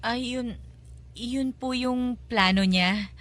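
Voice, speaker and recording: A woman's voice: fairly high pitch, slow, fairly bright tone. An actress with a Filipino accent playing a timid, polite young mother in a radio drama, hesitant and deferential. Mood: shy, polite.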